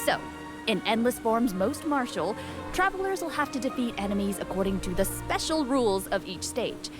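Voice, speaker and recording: A woman's voice: on the high side, animated, moderate pace. A woman co-presenting game news, explaining from a script with playful banter. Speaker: co-presenter on a game update broadcast. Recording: loud music behind. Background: music.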